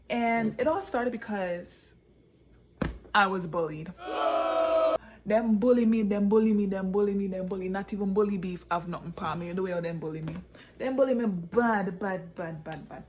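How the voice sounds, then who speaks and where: A woman's voice: medium pitch, measured pace, pauses often, darkish tone, a faint crowd, a little hiss or hum. Emphatic storytelling woman. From born in Jamaica, raised in England and the United States. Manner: emphatic, repeats phrases, slips into Jamaican Patois. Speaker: vlogger and author telling her own story. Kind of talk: personal storytime, casual and confiding.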